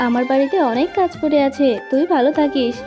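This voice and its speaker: A woman's voice: high voice, fast talker, dark tone, soft background music, close to an everyday voice. A woman voicing a sly, deceitful adult female fox with a brazen, dismissive manner in a children's cartoon. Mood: dismissive, scheming.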